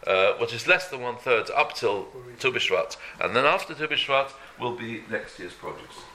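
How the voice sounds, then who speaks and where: A man teaching an informal Torah class, halting, repeating words and checking in with "yeah?". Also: mid-range voice, lively intonation, pushed and bright, rough recording.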